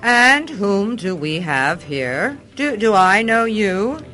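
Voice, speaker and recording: An actress playing a grand, outgoing high-society widow in a radio drama. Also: female voice, big pitch swings, takes it slowly, pushed and bright, soft background music, exaggerated voice. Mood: haughty curiosity. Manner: theatrical, grand.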